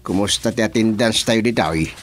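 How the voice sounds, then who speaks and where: A man's voice: lowish voice, brisk, close to an everyday voice. A man with an Ilocano accent playing a bossy village chairman in a radio drama, speaking with authority. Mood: firm. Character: bossy middle-aged male village chairman.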